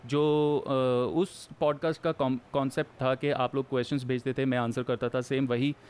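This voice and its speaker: A man co-hosting a casual podcast chat, joking around and mixing Hindi with English, with "uh" pauses as he explains. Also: medium pitch, level tone, darkish tone, clear, resonant tone. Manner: explains plainly with filler "uh" pauses. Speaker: podcast co-host.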